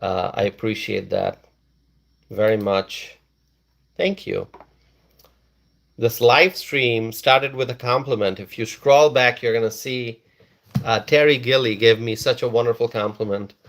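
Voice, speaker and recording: A man's voice: medium pitch, unhurried, gravelly, pauses often, fairly bright tone. A man from Bangladesh hosting a casual live stream, reading viewers' comments aloud and repeating phrases for emphasis.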